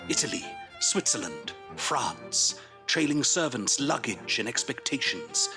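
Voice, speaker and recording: A male actor as the storyteller of a Victorian audio drama, reading scripted narration in a measured, grave, literary way. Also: on the low side. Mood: foreboding.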